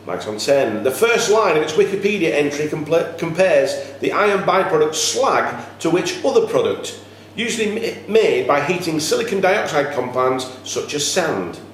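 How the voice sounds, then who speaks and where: A man's voice: a little high, sing-song, moderate pace, hardly any pauses. A man acting as quiz master, reading questions aloud to contestants in a live quiz. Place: echoey indoor room at a cricket club. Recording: echoey room, faint background noise.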